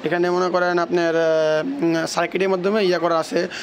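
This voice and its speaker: A man gives a halting hands-on technical walkthrough with frequent false starts, as a video presenter. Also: sits high, level tone.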